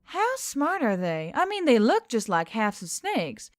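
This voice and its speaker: A woman's voice: on the high side, wildly swooping pitch, a little slow, no room sound, studio quality. A woman voicing an eager, curious human woman in an audiobook, full of questions. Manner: eager, inquisitive.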